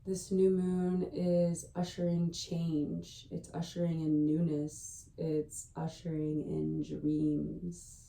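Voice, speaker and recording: A woman leading a guided meditation in a gentle, hushed, soothing way, with unhurried pauses between phrases. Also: on the low side, little pitch movement, creaky. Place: indoors at a family home. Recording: echoey room, distant from the mic.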